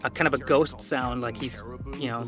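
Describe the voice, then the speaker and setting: A man speaking casually as an interview guest and voice actor, in a halting way with frequent restarts and self-corrections. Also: medium pitch, fairly even intonation, music in the background.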